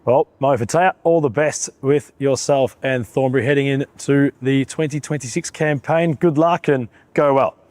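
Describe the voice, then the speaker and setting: A man interviewing a futsal player, asking measured, formal questions. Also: mid-range voice, a little mellow, dry recording. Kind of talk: sports interview.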